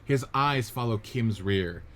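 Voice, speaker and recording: A man narrating the stage directions of a script reading in a steady, matter-of-fact way. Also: medium pitch, takes it slowly, slight background noise.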